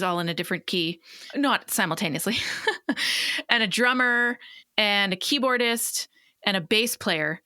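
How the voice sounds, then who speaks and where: A woman in her late thirties, hosting an interview podcast, listening attentively and telling a story clearly. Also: mid-range voice, pushed and bright, dry recording, clean studio sound. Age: late 30s. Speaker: podcast host. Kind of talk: interview conversation.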